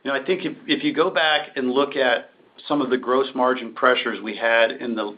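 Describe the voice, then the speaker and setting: A man's voice: mid-range voice, fairly even intonation, moderate pace. A man speaking as a company executive, answering analysts' questions on a conference call. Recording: muffled, noisy conference-call line with clicks.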